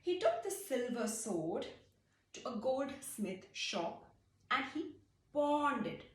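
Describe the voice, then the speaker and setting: A woman telling a folktale for children in an expressive way, explaining as she goes. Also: a little high, takes it slowly, echoey room, distant mic. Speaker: storyteller. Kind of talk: animated storytelling of a folktale for children.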